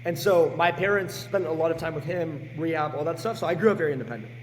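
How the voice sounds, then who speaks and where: Hoarse high-voiced young man. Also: fast, big-room sound, slight background noise, low-quality recording. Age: late teens. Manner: energetic and blunt, swears freely. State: hoarse, sick with a sore throat. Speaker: guest. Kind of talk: casual podcast chat.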